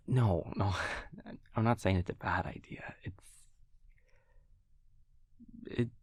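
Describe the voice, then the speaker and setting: Low, gravelly, easygoing vampire boyfriend played by a man. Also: dry recording, clean studio sound. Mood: wry, incredulous. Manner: hesitant, casual, softly teasing. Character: affectionate, wry male vampire boyfriend, self-deprecating and easygoing. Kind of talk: intimate audio roleplay, spoken to the listener.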